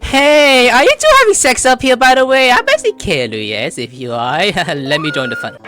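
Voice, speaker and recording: Woman gamer, playful commentary, teasing, animated, music in background. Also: fairly high pitch, moderate pace. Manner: excitable, teasing. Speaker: gaming streamer. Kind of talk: playful reaction commentary over gameplay. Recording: game music behind.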